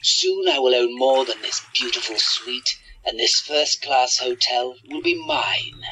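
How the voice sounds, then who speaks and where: A man playing a vain, cruel villain magician in a radio drama, gloating and scheming with theatrical, drawn-out delivery. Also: medium pitch, noisy background, close to an everyday voice. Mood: smug gloating.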